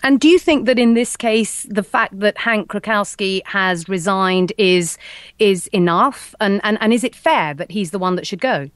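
A woman's voice: mid-range voice, normal speed, no room sound. Probing female news presenter, dry sound. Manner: probing, conversational questioning. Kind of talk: broadcast news interviewing.

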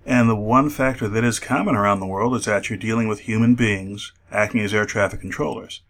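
Low-pitched man explaining as an expert guest. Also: a little fast, fairly bright tone. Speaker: aviation expert, interview guest. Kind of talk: explanatory radio interview.

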